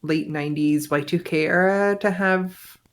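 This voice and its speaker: A woman talks casually on a listening stream. Her voice is slightly low, and she muses analytically, pausing and rephrasing as she goes. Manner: musing, halting as she works out ideas.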